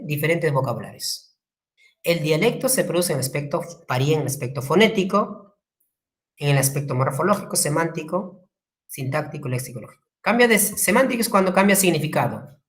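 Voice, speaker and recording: A woman with a Peruvian Spanish accent giving a classroom lecture, acting out quotes and asking the class questions. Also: sits low. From Peru. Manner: lively, acts out quotes, asks the class questions. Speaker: teacher.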